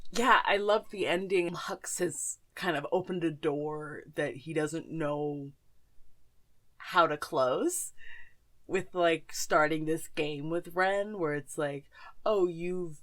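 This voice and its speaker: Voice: mid-range voice, takes it slowly, fairly bright tone. A podcast host in casual fan chat, gushing and slangy, with frequent swearing.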